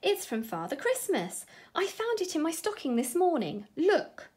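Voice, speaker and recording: Storybook small boy, played by a woman: very high, gushing, breathlessly eager. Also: moderate pace. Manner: squeaky, gushing with excitement. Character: excited, breathless little boy in pyjamas.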